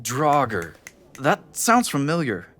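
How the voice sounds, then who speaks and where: An actor voicing a friendly teenage quarterback who stammers a little and is eager to please. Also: male voice, mid-range voice, wildly swooping pitch, slow pace, a bit bright, slight background noise, heavily acted. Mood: curious. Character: friendly teen quarterback, a bit awkward. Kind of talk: audio drama acting.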